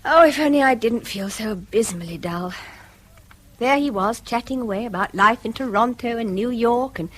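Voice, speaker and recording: An actress playing a weary, self-deprecating middle-aged English wife in a radio drama, with a dry, exclamatory delivery. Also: female voice, a little high, normal speed, fairly bright tone. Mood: self-deprecating, dejected. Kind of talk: British radio drama acting.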